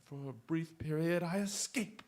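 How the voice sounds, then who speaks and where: An actor playing a brilliant, restless gentleman detective in a radio drama, with a grandly eloquent, quick-witted manner. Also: on the high side, lightly acted. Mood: animated.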